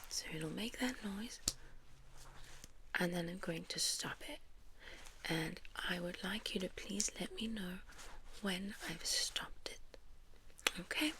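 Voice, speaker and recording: Slow, whispering South African woman. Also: fairly low pitch, a little hiss or hum. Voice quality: soft, breathy whisper. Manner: gentle, reassuring step-by-step instructions. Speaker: ASMR creator playing a medical examiner. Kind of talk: soft-spoken whispered ASMR medical roleplay.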